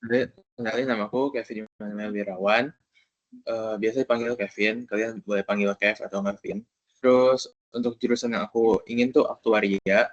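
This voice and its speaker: A man's voice: lowish voice, steady tone, normal speed, no echo, lo-fi sound. A young man from Jakarta, a student, introducing himself casually and a little hesitantly on an online call. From Jakarta, Indonesia. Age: young adult. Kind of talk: informal self-introduction on a group call.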